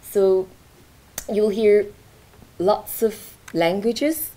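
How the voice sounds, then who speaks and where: A young woman from Belgium presenting her artwork at a launch event in a lecture theatre, speaking haltingly with many fillers. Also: medium pitch, dark tone. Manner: hesitant, many fillers, gestures while talking. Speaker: artist presenting her work. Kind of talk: informal talk at a launch event.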